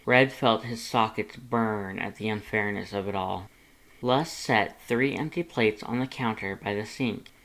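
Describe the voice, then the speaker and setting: An audiobook narrator with a medium-pitched voice reads fan fiction. The delivery is expressive, scripted storytelling. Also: a little slow, low-quality recording. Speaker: fanfiction audiobook narrator and channel host.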